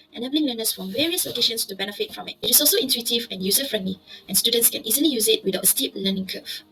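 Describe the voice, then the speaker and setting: A woman's voice: a little high, sharp tone, poor audio. A woman teacher, giving a formal, upbeat scripted presentation of a classroom project. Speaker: English teacher.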